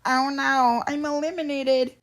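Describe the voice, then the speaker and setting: A woman voicing an excitable cartoon object character with a shrill, over-dramatic, exclamatory delivery. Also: measured pace. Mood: dismayed.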